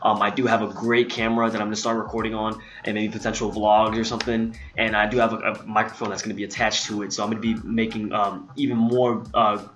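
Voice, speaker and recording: A man's voice: lowish voice, little pitch movement, fast, few pauses, fairly bright tone. A young man talking casually to his laptop at home, rambling with lots of fillers, a little out of breath. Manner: rambling, filler-heavy, chatty. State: slightly out of breath. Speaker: vlogger. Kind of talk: casual talk to camera. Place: home room, indoors. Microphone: laptop built-in mic.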